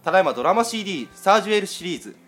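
Man hosting radio talk with lively pitch. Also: very fast. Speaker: radio show host. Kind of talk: casual radio talk with announcements.

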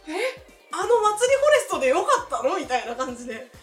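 A woman with a high, bright voice hosts a casual radio chat. Her delivery is giggly and very animated, swinging up and down. She is in a roomy space with music behind her. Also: a little fast. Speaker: host of a voice-actor radio talk. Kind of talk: casual round-table chat. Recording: roomy sound with background music.

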